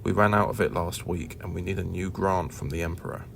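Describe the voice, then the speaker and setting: A man's voice: low voice, fairly even intonation, fairly quick, creaky, soft background music. A man acting a timid, grovelling underling in an audio drama, hesitant, stammering and deferential. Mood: nervous, pleading.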